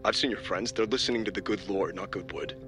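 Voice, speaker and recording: Laid-back wisecracker played by a male actor, blunt audio-drama producer, slightly low voice, music behind. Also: fast talker, close to an everyday voice. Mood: wry, teasing. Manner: joking, blunt. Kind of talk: naturalistic audio drama acting.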